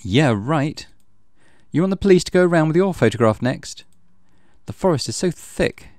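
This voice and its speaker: A man voicing a stern mother who scolds her young son in a sharp, reproachful, threatening manner. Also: fairly high pitch, animated, normal speed, dry recording, studio quality, voice pushed up, natural voice. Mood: scolding, worried.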